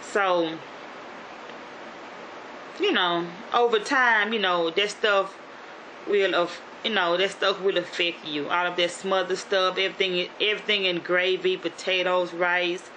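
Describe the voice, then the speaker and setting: A woman with a Southern Louisiana accent talks to her viewers in a chatty, rambling way, full of fillers and restarts. Her voice is bright and forward. A faint hiss of noise sits under the recording. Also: on the low side, steady tone, measured pace, poor audio. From Shreveport, North Louisiana. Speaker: YouTube vlogger. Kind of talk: casual motivational vlog.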